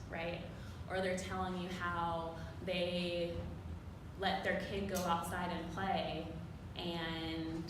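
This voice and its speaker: A woman's voice: fairly low pitch, noisy background. A woman filmmaker on a panel, speaking bluntly and passionately in a casual way. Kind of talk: panel discussion. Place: theater with audience. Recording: echoey theater from far off.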